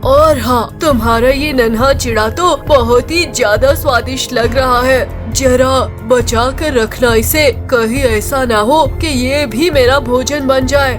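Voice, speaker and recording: A woman's voice: high-pitched, takes it slowly, few pauses. A woman voicing a boastful, mocking male snake villain in a children's cartoon, menacing and taunting. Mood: mocking, threatening. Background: music.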